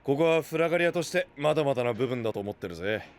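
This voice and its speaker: A man's voice: medium pitch, expressive, racing, close to an everyday voice. A man playing a rugged, big-hearted young knight leader, brash and frank, in an anime audio drama. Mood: hearty, welcoming.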